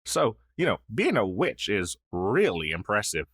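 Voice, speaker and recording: A man's voice: on the low side, very animated, a little slow, a bit bright, slightly rough, no echo, clean studio sound, full character voice. A man playing a friendly, down-on-his-luck crow demon who was once a witch's familiar, in a fantasy audio roleplay, speaking earnestly with hesitant stammers. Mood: warm, explanatory.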